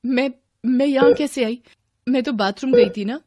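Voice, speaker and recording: A woman's voice: high-pitched, normal speed, pitched above the performer's own voice, close to an everyday voice. A woman playing a terrified, bewildered mother in a hospital horror story, stammering and repeating her words. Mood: frightened, bewildered. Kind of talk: Hindi horror story acting.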